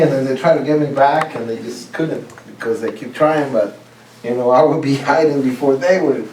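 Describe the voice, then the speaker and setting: A man's voice: fairly high pitch, a little mellow. Distant, echoey man telling funny stories. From Costa Rica. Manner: laughs at own stories, halting restarts. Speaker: contest speaker. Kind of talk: humorous contest speech. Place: club meeting room. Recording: roomy, distant mic, faint hiss.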